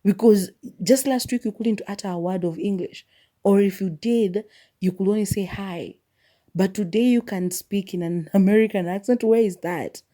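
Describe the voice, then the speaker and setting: A woman's voice: mid-range voice, moderate pace, warm tone. A young woman hosting a solo podcast, speaking her mind candidly and mocking what others say. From Kenya. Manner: opinionated, candid, mock-quotes people. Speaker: podcast host.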